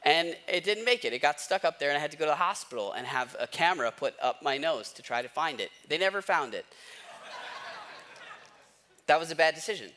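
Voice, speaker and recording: A man's voice: on the high side, animated, fairly quick, bright, forceful tone. A man giving a conversational sermon as a pastor, asking rhetorical questions and repeating key phrases for emphasis.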